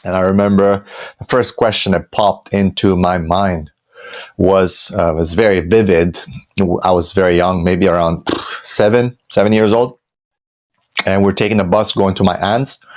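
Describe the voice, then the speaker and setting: A man's voice: low-pitched, fairly even intonation, measured pace. A man in his thirties with a Romanian accent, telling his life story as a podcast guest in a loose, conversational way full of fillers and restarts. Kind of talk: personal storytelling.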